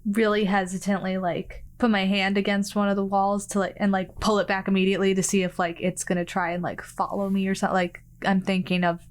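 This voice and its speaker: A woman chatting casually as a player in a tabletop game, describing her moves in hesitant, halting phrases. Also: mid-range voice, little pitch movement. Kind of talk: casual tabletop roleplay chat.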